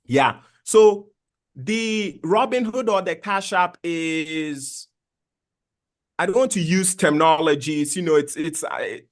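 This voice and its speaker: High-voiced man from Ghana explaining money. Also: slow pace. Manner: patient, plain-spoken explainer. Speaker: guest finance expert. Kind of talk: explaining investing in an interview.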